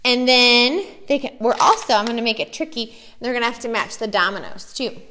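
A woman's voice: on the high side, expressive, brisk, bright, forceful tone. An American woman, a preschool teacher, chatting enthusiastically and casually as she shows classroom activities.

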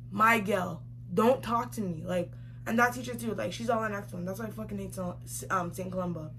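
A woman's voice: medium pitch, pushed and bright. Emphatic young woman with noticeable background noise. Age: young adult. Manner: emphatic, slangy, repeats phrases. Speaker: vlogger. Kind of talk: animated casual storytelling to camera. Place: small room indoors, seated facing camera. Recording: clearly noisy home recording.

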